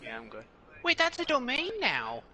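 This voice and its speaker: A man's voice: very high-pitched, huge pitch swings, normal speed, bright, forceful tone, slight background noise. A man chatting casually as a co-commentator during a video game stream. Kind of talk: casual chat during gameplay.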